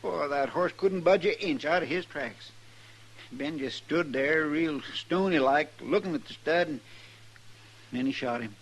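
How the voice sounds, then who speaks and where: An actor playing a folksy, excitable marshal's sidekick in an old radio western, with a homespun, drawn-out way of telling things. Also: male voice, a little high, husky. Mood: upset. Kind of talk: 1950s radio western acting.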